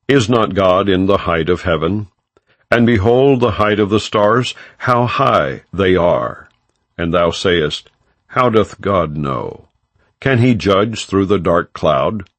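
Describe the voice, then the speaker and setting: A man narrating scripture as an audiobook in a solemn, measured, formal manner, without dramatization. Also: deep voice, no room sound.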